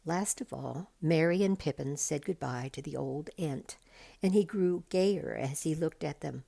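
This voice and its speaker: A woman reading a bedtime story aloud in a measured, deliberate way. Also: sits low, gravelly, dark tone. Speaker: volunteer storybook reader.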